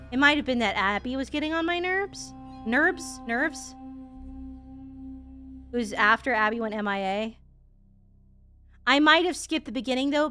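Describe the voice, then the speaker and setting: Female game streamer, rambling and sweary, bright forward voice, animated casual commentary over soft music. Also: fairly high pitch.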